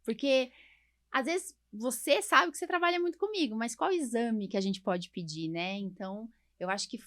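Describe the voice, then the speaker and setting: A woman's voice: a little high, normal speed, fairly bright tone. A Brazilian woman, a doctor hosting a podcast, explaining things informally with frequent tag questions and diminutives. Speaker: podcast host, allergist doctor. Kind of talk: casual podcast conversation.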